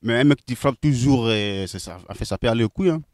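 A young man interviewing people in the street, teasing them with quick-fire questions, recorded on a handheld mic outdoors in a city square. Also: medium pitch, a little mellow, dry recording, clean studio sound.

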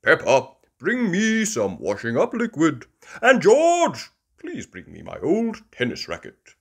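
Woman putting on a booming, jolly daddy pig. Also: huge pitch swings, slow, husky, full character voice. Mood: jolly instructing. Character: hearty, jolly adult male daddy pig.

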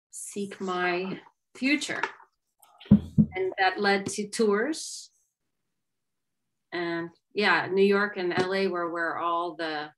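Low-voiced woman on a video call. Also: poor audio. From Maryland, USA. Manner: animated storyteller, lively bursts of energy. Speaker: guest singer and yoga teacher. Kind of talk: casual video-call interview. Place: home room.

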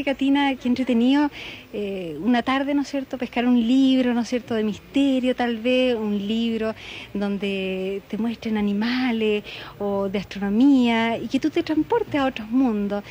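A woman's voice: fairly high pitch, a little mellow, slight background noise. A woman in her thirties with a Chilean accent, answering an on-location TV interview in an explanatory way, often seeking agreement. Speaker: book fair coordinator being interviewed. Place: indoor book fair stall. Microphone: handheld interview microphone.

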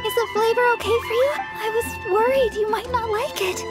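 A sweet young deaconess with an extremely high voice. She is earnest, polite and gentle in an anime game style, with loud music behind her. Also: steady tone, moderate pace. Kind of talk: anime-style video game character acting.

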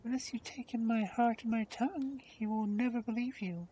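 A man's voice: very high voice, moderate pace, mellow, a little hiss or hum, pitched above the performer's own voice, rough recording. A man voicing a kind, dignified young princess in a storybook tale. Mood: earnest. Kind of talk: storybook character voice.

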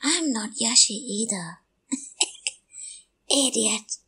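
Woman playing a slow, husky, taunting ghost. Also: a little high, animated, sharp tone, exaggerated voice. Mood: mocking. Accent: Indian. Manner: mocking, theatrical, drawn-out delivery. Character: taunting, gleeful female ghost of forgotten lines. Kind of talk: radio play acting.

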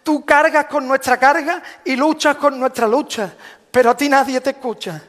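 A man's voice: very high voice, steady tone, normal speed, fairly bright tone. A man solemnly reciting a rhymed devotional proclamation as a Holy Week proclaimer, in a declamatory manner. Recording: long hall reverb.

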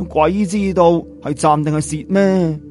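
A man's voice: fairly high pitch, steady tone, unhurried, darkish tone, soft background music, lightly acted. A man voicing a sceptical, grudging bystander in a story, needling and dismissive, with a Cantonese accent. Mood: scoffing.

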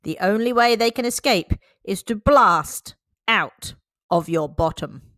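Brisk doggy doctor explaining germs, as a lady reads him, slow and sing-song. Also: medium pitch, animated, a bit bright, no room sound, clean studio sound. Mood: lecturing. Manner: firm and explanatory. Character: brisk, no-nonsense male dog doctor.

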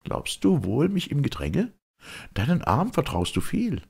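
A man voicing a defiant, spirited young maiden who declaims verse forcefully. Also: a little high, wildly swooping pitch, a little slow, vocal fry, slightly rough, voice pushed up, exaggerated voice.

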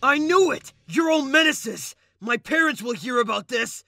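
High-pitched brash cartoon boy voiced by a man. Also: expressive, moderate pace, vocal fry, sharp tone, dry recording, clean studio sound, full character voice. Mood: alarmed. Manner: exclamatory, brash. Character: young boys, excitable and brash, cartoon humans. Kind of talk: comic dub voice acting.

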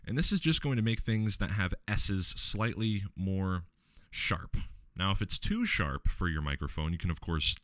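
A man with a deep, low voice walking viewers through a software tutorial step by step, relaxed, with the odd filler. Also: normal speed, no echo, studio quality. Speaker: tech tutorial host. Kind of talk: screen-recorded software tutorial.

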